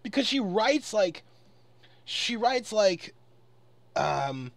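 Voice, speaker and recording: A man's voice: sits high, big pitch swings, drawn-out words, creaky, dry recording. A man delivering an angry, off-the-cuff rant in a profane, exasperated and emphatic way. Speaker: online commentator recording an audio log.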